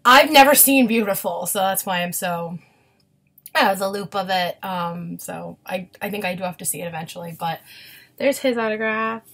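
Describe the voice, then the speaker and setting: A woman's voice: mid-range voice, moderate pace, a bit bright. A woman in her twenties chatting casually and enthusiastically to her viewers, rambling and gushing like a devoted fan. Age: 20s. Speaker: vlogger showing autographs.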